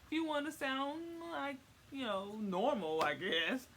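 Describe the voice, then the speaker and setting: A woman's voice: high-pitched, very animated, measured pace, a bit bright. A young woman giving a casual comic explainer in informal slang, rambling and repeating words for emphasis. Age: young adult. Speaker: vlogger. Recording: faint noise, home recording.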